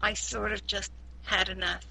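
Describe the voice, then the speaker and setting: A woman casually giving her opinion in a book group, hesitant and pausing often. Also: mid-range voice, level tone, creaky, sharp tone, slight background noise, rough recording.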